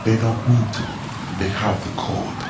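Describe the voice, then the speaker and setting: A man playing a low, cryptic ninja informant. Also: steady tone, echoey room, background noise, lightly acted. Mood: cryptic, grave. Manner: terse, cryptic, measured delivery. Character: mysterious male cyborg ninja informant, cool and cryptic. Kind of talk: video game cutscene voice acting.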